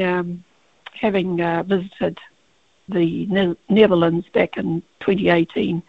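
A woman with a croaky, gravelly voice, a barrister speaking as a guest on a radio interview over the phone, halting with many fillers and restarts. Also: fairly low pitch, no echo. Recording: tinny phone line.

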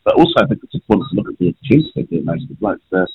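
A man's voice: mid-range voice, wildly swooping pitch, very fast, gravelly, husky, no room sound. A man with a New Zealand accent, calling in from a train as a politician guest and speaking in a pointed, impassioned way. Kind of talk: phone-in interview. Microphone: phone. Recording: tinny phone line.

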